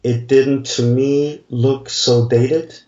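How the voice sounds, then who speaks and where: A man in his forties, a dancer answering interview questions in a slow, halting way, searching for words. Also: mid-range voice, level tone, warm tone. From Denmark. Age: 40s. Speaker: dancer guest. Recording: distant, roomy, dull remote audio.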